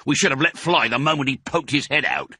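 A man's voice: mid-range voice, brisk, sharp tone, breathy edge, no room sound, studio quality, voice pushed up. A man voicing a blustering, impatient farmer with emphatic delivery in a children's storybook reading. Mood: angry.

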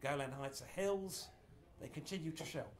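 A man's voice: fairly high pitch, moderate pace. A middle-aged man giving an informal history lecture in a small indoor room, gesturing broadly as he explains. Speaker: speaker giving a history talk.

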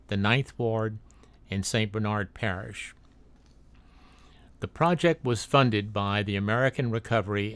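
A man narrating a drone video as a read-aloud factual voice-over, with occasional slips and restarts. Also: fairly low pitch. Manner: reads a factual text, with small slips and restarts.

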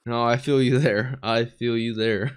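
Brief: young American-born male streamer, hoarse from illness, chatty and giddy, close boom mic in a small bedroom studio. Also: a little slow. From United States. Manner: excitable, chatty, laughing often. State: raspy, sick voice. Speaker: anime reaction streamer. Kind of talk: casual anime reaction commentary. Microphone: studio mic on boom arm, headphones.

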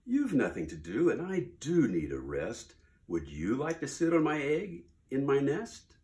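A man voicing a lazy female bird who whines and pleads in a high, coaxing voice. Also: huge pitch swings, a little slow, darkish tone.

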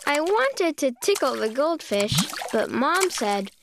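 A woman narrating a children's storybook in an expressive, deliberate way, stretching key words. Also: high-pitched, creaky, background noise.

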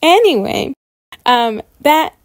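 Female reaction host, high voice, bubbly and chatty, full of enthusiasm, dry sound. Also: slow pace, no room sound. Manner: bubbly, gushing, excited. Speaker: YouTube host reviewing channels. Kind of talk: casual reaction commentary.

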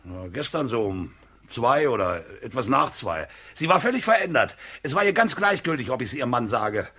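A desperate small-time crook in a radio crime drama. His husky voice is bright and tense, swinging widely in pitch as he pleads and defends himself. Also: sing-song, normal speed, full character voice. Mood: bitter.

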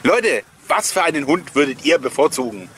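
A man's voice: fairly high pitch, sing-song, moderate pace, fairly bright tone, a little hiss or hum. A man hosting a nostalgic video with chatty, rambling voice-over commentary and personal anecdotes, often chuckling as he goes. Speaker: host of a collectors' nostalgia video channel.

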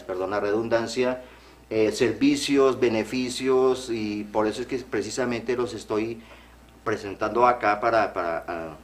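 A middle-aged man from Colombia sits for a TV studio interview, talking earnestly with frequent restarts. His voice sits fairly low and is picked up by a lapel mic. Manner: earnest, gestures, restarts phrases. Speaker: program guest. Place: TV studio set.

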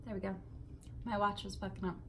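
A woman's voice: medium pitch, flat delivery, a little fast, slight background noise. A young adult woman chatting casually to camera in an informal, rambling way, recorded in a bedroom. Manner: informal, swearing, rambling asides. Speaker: vlogger. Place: bedroom indoors.

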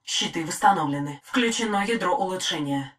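A woman voicing a calm, dutiful female war-machine AI in a video game, giving terse military status reports in a crisp, even, measured way. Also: lowish voice. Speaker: titan AI voice. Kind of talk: game voice lines. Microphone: studio mic.

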